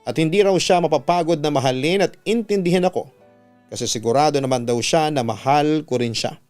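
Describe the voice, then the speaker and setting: A man's voice: a little high, fairly quick, darkish tone. A man who works as a radio announcer, narrating a dramatic first-person story. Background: background music.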